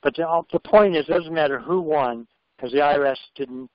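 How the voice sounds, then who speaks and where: A man's voice: on the high side, fairly quick, no room sound. A man taking part in a group phone call, talking casually and conversationally. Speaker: participant on a conference call. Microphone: phone conference line. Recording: dull, lo-fi conference call audio.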